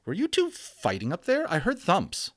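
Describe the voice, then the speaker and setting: A man acts out a practical mother in a children's storybook. The voice is pushed very high and lilts widely as it questions and mildly admonishes. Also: wildly swooping pitch, normal speed, a bit bright, no echo, clean studio sound, full character voice. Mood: questioning.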